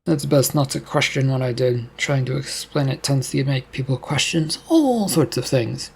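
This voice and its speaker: A man's voice: medium pitch, moderate pace, gravelly, breathy edge. A man voicing a blunt, commanding part-human paranormal detective. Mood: calm.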